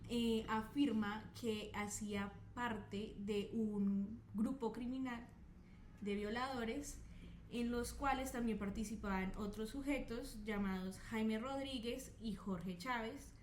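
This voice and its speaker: A woman's voice: a little high, steady tone, slow pace, roomy sound, slight background noise, low-quality recording. A woman, adding details to a crime story on a podcast, often ending with tag questions. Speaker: co-host. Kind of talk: true crime podcast chat.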